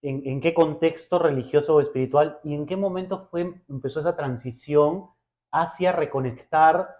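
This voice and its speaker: A man's voice: a little high, moderate pace, darkish tone. A man hosting a podcast, speaking in an attentive, conversational interview style on dull, lo-fi audio. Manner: attentive, frequent short acknowledgements. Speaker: podcast host.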